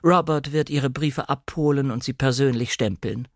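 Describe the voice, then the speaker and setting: A man voicing a coolly deferential female housekeeper of a manor in an audiobook drama, with formal, measured politeness. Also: mid-range voice, no echo, clean studio sound, voice pushed up, close to an everyday voice.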